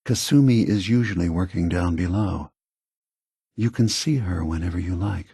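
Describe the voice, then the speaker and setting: An actor playing a calm, grave synthetic man, a weary scheming leader, with a husky, measured, deliberate delivery for a video game. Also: deep voice, no room sound, studio quality, exaggerated voice. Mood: calm, welcoming.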